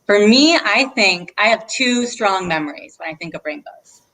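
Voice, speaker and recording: A woman's voice: mid-range voice, expressive, normal speed, a bit bright, poor audio. A woman hosting a read-aloud for children, speaking as a librarian in an encouraging way and putting questions to her listeners. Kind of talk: children's storytime chat.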